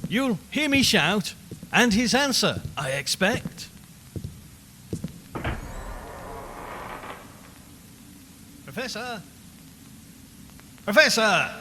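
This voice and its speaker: A man's voice: high-pitched, bright, forceful tone, heavily acted. Man acting a jittery radio reporter, cavernous reverb. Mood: uneasy. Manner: chatty, wry, increasingly uneasy. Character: male radio reporter broadcasting nervously from a haunted house. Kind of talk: dramatised live radio commentary. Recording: long reverberant hall, background noise.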